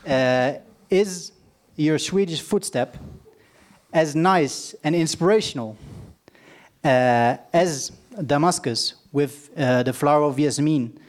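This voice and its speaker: This is a man with a moderately high speaking voice. He works as the interviewer in a live conversation. His questions come out hesitantly, in halting pieces.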